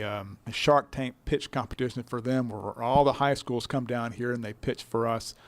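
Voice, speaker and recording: Gravelly man explaining in a radio interview. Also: mid-range voice, a little mellow. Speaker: business incubator director being interviewed.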